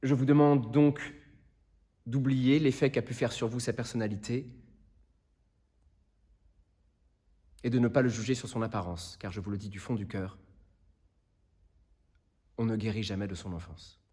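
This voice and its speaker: Male actor for earnest, impassioned defence counsel, lighter-pitched man, deliberate and solemn courtroom oratory. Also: expressive. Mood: grave, hushed pleading. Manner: solemn, rhetorical, deliberate phrasing. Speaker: actor performing a monologue. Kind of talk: theatrical courtroom closing speech.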